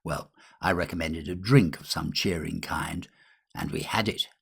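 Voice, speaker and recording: A man reading a literary ghost story in a measured, expressive way with dramatic pauses. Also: deep voice, vocal fry, slightly rough. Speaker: audiobook reader.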